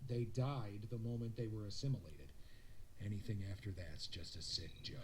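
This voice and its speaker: A man's voice: lowish voice, steady tone, normal speed, noisy background, close to an everyday voice. A man playing a grim, businesslike starship officer in a sci-fi audio drama, clipped and matter-of-fact.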